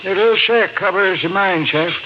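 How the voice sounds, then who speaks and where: Man putting on a high-pitched folksy hillbilly miner. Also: natural voice. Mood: folksy, helpful. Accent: American hillbilly. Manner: folksy, halting, clipped sentences. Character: folksy hillbilly miner, a crude disguise put on. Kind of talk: 1950s American radio drama acting. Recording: dull, noisy 1950s radio recording.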